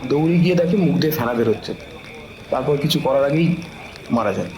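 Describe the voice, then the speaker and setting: A man's voice: medium pitch, expressive, quick pace, warm tone, natural voice. A man playing a talkative, deferential police officer in an audio drama, explaining things respectfully and knowingly to a superior. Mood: informative. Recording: echoey room, clear background noise.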